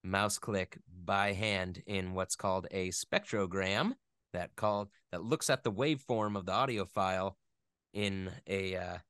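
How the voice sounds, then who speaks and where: A man in a casual interview, explaining things in a halting, conversational way as a guest expert. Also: sits low, fairly bright tone, clean tone, dry recording, clean studio sound. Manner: halting, filler-heavy explaining with playful sound imitations. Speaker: interview guest, game audio editor and voice actor.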